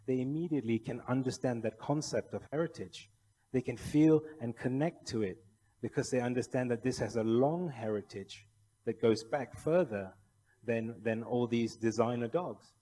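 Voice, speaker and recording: A man's voice: medium pitch, mellow. A man from Malaysia giving a persuasive conference talk, putting rhetorical questions to his audience. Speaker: conference speaker, kennel federation official. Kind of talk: conference presentation. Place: large indoor conference hall. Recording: echoey hall with long reverb.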